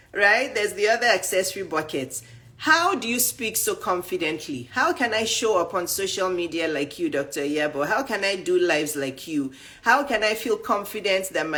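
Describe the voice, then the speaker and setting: A woman's voice: on the low side, fairly bright tone. Blunt, emphatic woman coach. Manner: blunt, straightforward, emphatic lists. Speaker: dating and life coach. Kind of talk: direct-to-camera coaching talk.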